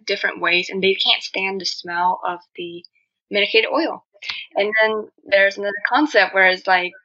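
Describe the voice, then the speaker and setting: A woman's voice: mid-range voice, pushed and bright, dull audio. A young adult woman from Vietnam with a Vietnamese accent, chatting candidly as a guest and telling personal stories with plenty of filler phrases like "you know". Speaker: podcast guest, marketing student. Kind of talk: casual personal storytelling in an interview.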